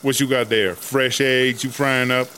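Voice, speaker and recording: A man's voice: mid-range voice, fairly even intonation, measured pace, pushed and bright, a little hiss or hum. A man playing a brash, vain female inmate who bosses a cell block with sassy, slangy talk in a comedy audio drama. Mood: bossy.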